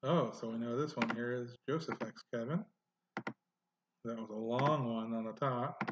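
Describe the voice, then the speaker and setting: A man hosting a casual genealogy software tutorial, thinking aloud as he clicks through, with frequent "uh" and "um" fillers. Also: medium pitch, moderate pace, highs cut off, very noisy. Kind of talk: casual screen-recorded software walkthrough.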